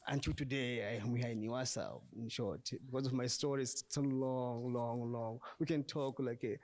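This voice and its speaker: A man from Burundi with an East African accent, giving personal testimony to an audience in halting, repetitive English. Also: medium pitch, a little mellow. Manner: halting, repetitive, non-native English phrasing. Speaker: refugee guest speaker.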